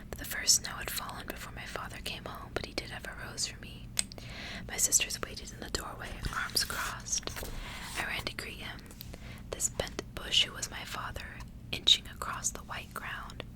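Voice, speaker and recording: Female ASMR reader needed, whispering throughout, soft and measured. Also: rough recording. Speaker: ASMR host reading a storybook aloud.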